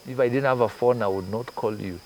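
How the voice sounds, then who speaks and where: A man from Kenya talks through his ideas in an interview at a middle pitch, building each point with everyday examples. Faint insect chirping sits quietly behind his voice. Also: a little fast, a little hiss or hum, rough recording. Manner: thoughtful, explains with examples and asides. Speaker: guest journalist. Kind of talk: conversational interview.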